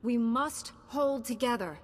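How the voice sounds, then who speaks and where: An actress playing a calm, noble female Jedi Knight in a video game, composed and sincere. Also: a little high, unhurried, close to an everyday voice. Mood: rallying. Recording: hall reverb, music behind.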